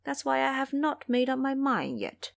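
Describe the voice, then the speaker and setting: A woman performs a matronly mother-in-law from an audio drama. She is shrewd and bustling, giving fussy commands with a very lively, varied pitch. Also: normal speed, vocal fry, full character voice. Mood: coy, calculating.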